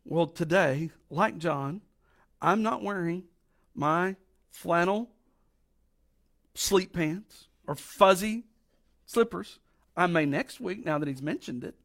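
A middle-aged man with a raspy voice from allergies, preaching a folksy, emphatic sermon with slow drawn-out stresses. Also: sits high, lively intonation, a bit bright. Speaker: pastor.

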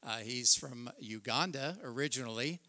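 A man speaking as a church host, giving an informal, halting welcome to introduce a guest speaker. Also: medium pitch, sharp tone, no room sound.

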